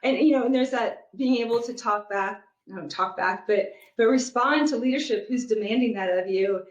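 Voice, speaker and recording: A woman guest on an interview who talks thoughtfully and steadily, often pausing with ums as she gathers her thoughts. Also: fairly high pitch. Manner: thoughtful, many fillers, measured. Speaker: guest, product manager and youth soccer coach. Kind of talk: casual interview conversation. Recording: muffled echoey remote recording.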